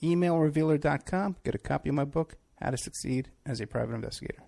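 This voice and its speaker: A man's voice: on the high side, quick pace, creaky, warm tone. A man from New City, hosting a talk radio show in a rambling, sarcastic monologue. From New York City. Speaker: radio show host, private investigator.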